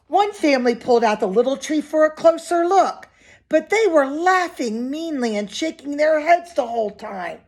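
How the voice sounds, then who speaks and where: A woman's voice: high-pitched, expressive, moderate pace, a little mellow. A woman reading a picture book aloud expressively to young children, with simple asides and questions to her listeners.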